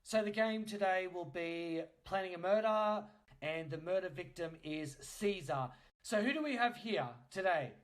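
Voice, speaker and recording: A man's voice: high-pitched, unhurried. A man hosting a podcast, chatting casually and asking questions as an interviewer. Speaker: podcast host.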